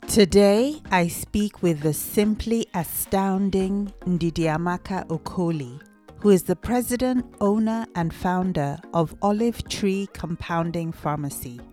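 A woman's voice: lowish voice, measured pace, warm tone, soft background music. A woman hosting a podcast interview, reading a formal introduction and then asking questions in a chatty way. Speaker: podcast host.

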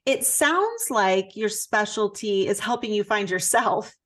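A woman's voice: mid-range voice, animated, a little slow, fairly bright tone. A woman who hosts a podcast and works as a coach, reading a prepared introduction and then asking her guest questions. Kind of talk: interview podcast.

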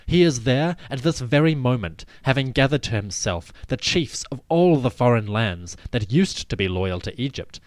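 Man voicing a proud, animated pharaoh. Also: medium pitch, moderate pace, hardly any pauses, dry recording, lightly acted. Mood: grave. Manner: regal, declamatory. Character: proud, resolute pharaoh addressing his generals. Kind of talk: dramatic reading of a royal proclamation.

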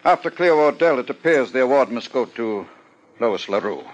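An actor playing a pompous, stuffy contest promoter in a 1950s radio drama, speaking formally and with some hesitation. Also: male voice, mid-range voice, expressive, normal speed, highs cut off, natural voice.

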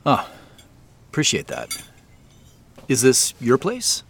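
An actor playing a polite, unsure man in an audio drama, speaking in a halting, low-key way. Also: wildly swooping pitch, vocal fry, a bit bright, breathy edge, full character voice. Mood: appreciative, curious. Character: hesitant, polite man out exploring, privately unsure. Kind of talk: naturalistic audio drama acting.

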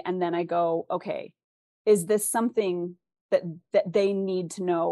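A woman's voice: on the low side, dark tone, no echo, clean studio sound. A woman giving thoughtful, conversational interview answers as a guest author, explaining things and acting out imagined questions.

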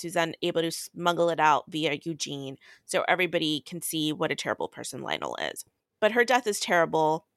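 Woman, slightly low voice, casual podcast recap, exasperated, blunt, sweary, dry sound. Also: a bit bright, smooth, no echo. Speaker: podcast co-host. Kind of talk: casual book recap and commentary.

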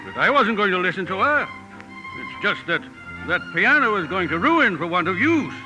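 A man acting a gruff but kindly old gentleman with a courtly manner in a 1950s radio drama. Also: sits high, big pitch swings, moderate pace, dull audio. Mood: kindly, coaxing. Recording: old radio broadcast with music behind.

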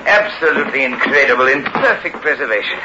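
An actor playing an excitable, blunt, emphatic investigator in a 1960s radio horror drama. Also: male voice, mid-range voice, fast talker, lightly acted. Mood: amazed, eager. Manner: emphatic, animated. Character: excitable, blunt male investigator of an old mystery. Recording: echoey, dull vintage radio recording, faint hiss.

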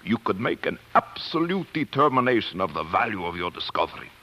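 An actor playing a grave, fatherly older scientist in 1940s radio drama, speaking deliberately and emphatically. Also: fairly low pitch, sing-song, muffled recording. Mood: grave, advising.

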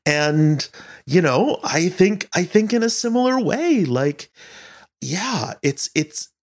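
A man's voice: high-pitched, sing-song, slow. A man answering interview questions as an audio director, speaking earnestly and thoughtfully, often searching for his words.

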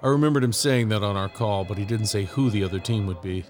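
A man's voice: fairly low pitch, fast talker, darkish tone, lightly acted. A man playing a demanding, wry task force leader in a scripted audio drama, in dialogue and narration. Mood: narrating, serious.

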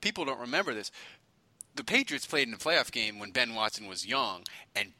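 An American man co-hosting a sports talk show, bantering casually in a halting, excitable, exaggerating fan's way. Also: medium pitch, dry recording.

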